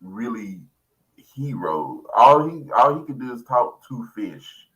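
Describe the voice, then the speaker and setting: A man with a medium-pitched, very lively voice chats casually as a guest co-host. He jokes and questions insistently, his intonation swinging up and down. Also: slow pace, low-quality recording. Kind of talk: casual chat.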